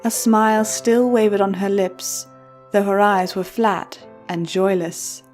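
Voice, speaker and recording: A woman's voice: mid-range voice, fairly even intonation, measured pace, a little mellow. A woman reading scripted storybook narration as the storyteller, with music playing behind her. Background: background music.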